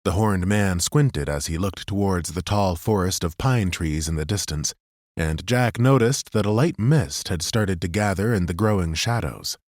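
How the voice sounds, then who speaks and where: A man narrating a fantasy audiobook in a steady, even storytelling manner. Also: low-pitched, normal speed, no room sound, clean studio sound.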